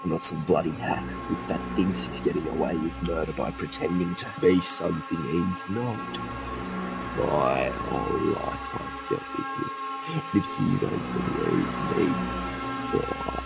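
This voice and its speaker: Man acting slow, gravelly, troubled split-personality suspect. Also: extremely low pitch, lively intonation, loud music behind the voice, heavily acted. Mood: taunting, bitter. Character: troubled suspect with two personalities, meek and menacing. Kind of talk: modern radio play acting.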